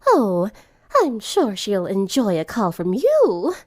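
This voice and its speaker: A woman voicing an elegant, sly teenage girl in a cartoon story, teasing with refined, drawn-out words. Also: medium pitch, huge pitch swings, a little mellow, heavily acted. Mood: coy.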